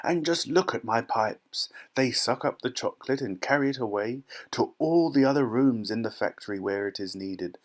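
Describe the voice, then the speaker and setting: A man voicing a flamboyant, excitable eccentric factory owner for a children's storybook, exuberant and emphatic, like a showman. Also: mid-range voice, normal speed, few pauses, natural voice. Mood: proud delight.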